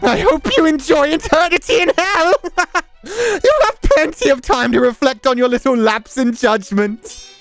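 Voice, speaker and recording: A man's voice: very high-pitched, animated, unhurried, a bit bright, soft background music, pitched above the performer's own voice, heavily acted. A man voicing an arrogant, villainous nobleman swordsman in a visual novel, taunting with theatrical contempt. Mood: gleeful malice.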